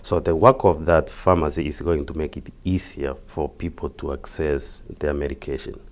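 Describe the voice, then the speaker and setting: A man with a Kenyan accent explaining things as an interview guest, haltingly and repeating words. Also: extremely low pitch, steady tone. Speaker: interview guest, community health project worker.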